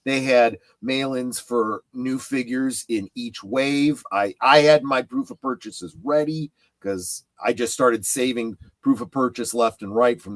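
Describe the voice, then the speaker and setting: A middle-aged man chatting casually about collecting, explaining things in a halting way. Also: mid-range voice, dry recording.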